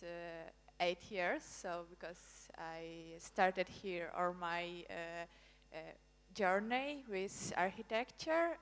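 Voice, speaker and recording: Slow, hesitant Ukrainian woman in a hall. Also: medium pitch, clean tone. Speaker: PhD student panelist. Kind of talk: informal self-introduction on a panel. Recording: reverberant hall over microphone.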